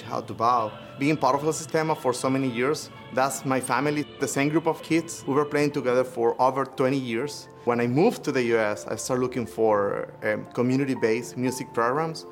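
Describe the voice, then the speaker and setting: Earnest Venezuelan man over music. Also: mid-range voice. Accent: Venezuelan Spanish. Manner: earnest, measured, with occasional hesitations. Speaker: conductor and music educator. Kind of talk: reflective personal testimony. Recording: interview over clear music. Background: instrumental music.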